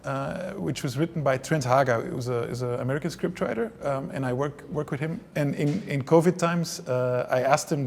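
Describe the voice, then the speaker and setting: A gravelly-voiced man from Belgium talks in a stop-start way, with plenty of fillers and false starts. His first language is Dutch, and he answers interview questions at an ordinary, middle pitch. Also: a little mellow, slight background noise. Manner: hesitant, many restarts and fillers. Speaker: film director.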